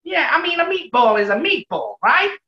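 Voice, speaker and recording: A woman's voice: sits high, very animated, normal speed, sharp tone, exaggerated voice. A woman playing a loyal, deferential male gangster henchman in a 1940s-style radio play. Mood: eager, loyal. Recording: echoey room, dull lo-fi sound.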